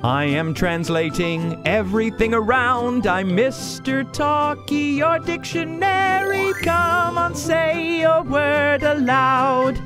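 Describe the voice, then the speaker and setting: A woman's voice: high-pitched, slow, few pauses, over music. A woman voicing a cheerful talking translator gadget in a children's cartoon, with a showy, announcing manner. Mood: showy, eager. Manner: showy, announcing, greets in many languages.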